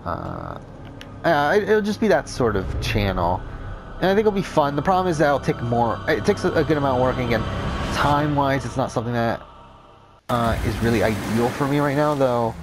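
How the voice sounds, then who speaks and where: A young man with a cold, rambling through casual gameplay commentary, talking off the top of his head with a deep, unclear voice and frequent swearing. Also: very animated, brisk, noisy background. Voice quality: deep, not clear. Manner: rambling, unscripted, says whatever comes to mind, swears often. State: has a cold. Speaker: Let's Play commentator.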